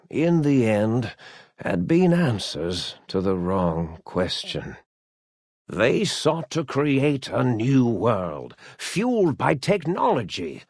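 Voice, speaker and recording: A grave old storyteller narrating a video game's history. He speaks slowly and deliberately in a gravelly voice, very close and dry. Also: medium pitch, no room sound, heavily acted. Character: solemn old storyteller recounting a lost science facility's history. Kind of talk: scripted narration for a video game.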